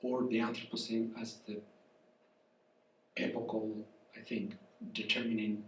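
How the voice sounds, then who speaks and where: Spanish-accented man, congested, soft and halting, miked from afar in an echoey café with faint chatter behind. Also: lowish voice, flat delivery, a little hiss or hum. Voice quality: soft, weak voice. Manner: halting, searching for words, apologetic. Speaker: guest professor. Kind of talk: public conversation, reflective lecture talk. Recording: echoey room from far off.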